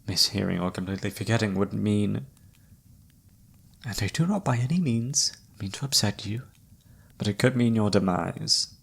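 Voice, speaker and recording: A man playing a mysterious, flirty palm reader in an ASMR roleplay, speaking softly in a formal, courtly way. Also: on the low side, normal speed, vocal fry, breathy edge. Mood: grave, gentle warning. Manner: soft-spoken, formal, courtly. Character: mysterious flirty palm reader, a wandering male fortune-teller.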